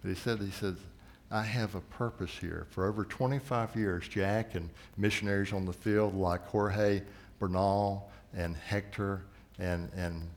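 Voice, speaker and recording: A man preaching a sermon, telling a story earnestly and often sinking into a soft, low voice. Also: steady tone, measured pace. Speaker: missions leader preaching.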